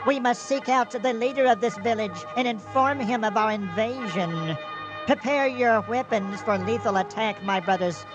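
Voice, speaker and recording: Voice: medium pitch, normal speed, close to an everyday voice, low-quality recording. An actor playing a commanding alien invader in beaver form, speaking in formal, stilted orders in a comic radio drama. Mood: solemn, commanding. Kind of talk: old-time radio comedy drama acting. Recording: radio play with music underneath.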